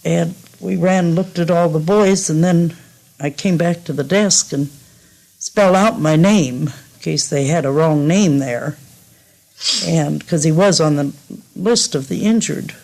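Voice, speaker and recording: A woman's voice: sits low, unhurried, gravelly, darkish tone. A woman recounting her experience in an unscripted 1950s radio interview. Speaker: interviewed mother. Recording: 1950s radio documentary tape.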